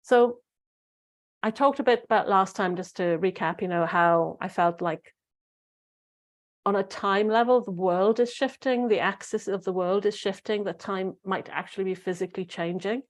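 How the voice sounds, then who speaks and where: A middle-aged woman hosting a spiritual talk show, musing and rambling with fillers and restarts, her voice slightly croaky. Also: medium pitch, moderate pace. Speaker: spiritual podcast host. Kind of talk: casual solo talk to listeners.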